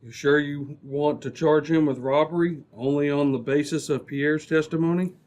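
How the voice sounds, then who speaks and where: Radio drama sergeant, male actor: calm, methodical questioner, slightly high pitch, measured delivery. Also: lightly acted. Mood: calm, probing. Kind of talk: old-time radio drama acting.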